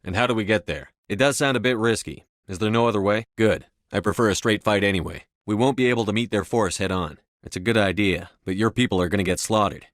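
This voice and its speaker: A man playing a low-voiced, commanding military officer. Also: fast, few pauses, dry recording, clean studio sound, lightly acted. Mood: controlled, businesslike. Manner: clipped, authoritative military delivery. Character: steadfast male space-marine commander, tough and decisive. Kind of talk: video game dialogue lines.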